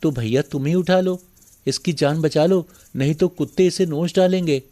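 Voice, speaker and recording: A man's voice: fairly high pitch, moderate pace, dark tone, close to an everyday voice. A man playing a poor, kind-hearted younger brother, meek, earnest and pleading, in a moral story cartoon. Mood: concerned.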